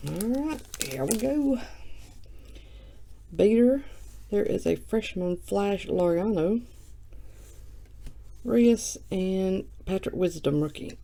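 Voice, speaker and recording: A woman who has just woken up, with a low morning voice, chatting casually while opening trading cards on camera. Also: expressive, slow, long pauses, mellow, a little hiss or hum. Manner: chatty and self-mocking, reading off card names. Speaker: card breaker. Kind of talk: casual card-opening vlog.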